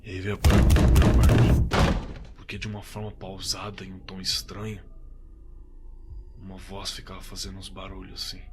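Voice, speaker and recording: A man with a Brazilian accent playing a shaken young man recounting a frightening night in a halting, colloquial way, in a horror audio drama. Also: low voice, completely flat delivery, loud music behind the voice. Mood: frightened. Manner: halting, repeating words, colloquial. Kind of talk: dramatized first-person horror story, told conversationally.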